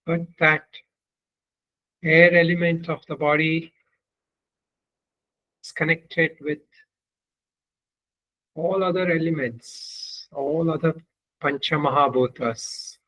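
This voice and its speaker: A man leading a guided meditation as a teacher, speaking in measured, deliberate phrases with long pauses between them. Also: on the high side, fairly even intonation, bright, forceful tone, rough recording.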